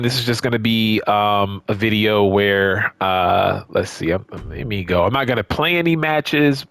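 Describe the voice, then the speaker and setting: A man's voice: lowish voice, a bit bright, no echo. A man giving casual, unscripted gaming commentary as a video creator, talking in a rambling, conversational, opinionated way.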